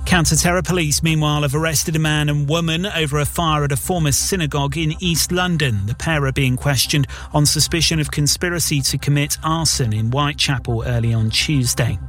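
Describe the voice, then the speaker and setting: Male radio newsreader, formal and measured, slightly higher pitch, light music bed behind. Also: fairly bright tone. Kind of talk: hourly news bulletin.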